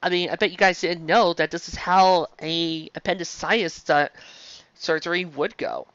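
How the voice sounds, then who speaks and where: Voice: medium pitch, steady tone, moderate pace, fairly bright tone, clean tone, no echo. A reviewer giving a casual, rambling monologue, digressing often and stumbling into restarts mid-phrase. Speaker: fan fiction reviewer. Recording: dull lo-fi close dry recording.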